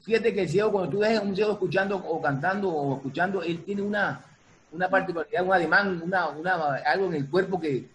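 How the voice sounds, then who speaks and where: A woman's voice: fairly low pitch, quick pace. A woman in a casual panel discussion, speaking as a dance teacher and repeating phrases for emphasis. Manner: emphatic, repeats phrases, checks listeners follow. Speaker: dance teacher and theater artist. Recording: noisy room sound with ambience.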